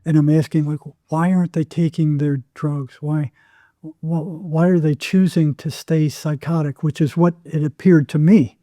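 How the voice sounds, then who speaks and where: A man talks as a podcast guest in a very dark, mellow voice that sits a little higher than average. The sound is close and dry, and he explains in anecdotes, leaning on repeated phrases for emphasis. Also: steady tone, normal speed, dry recording. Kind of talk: anecdotal interview talk.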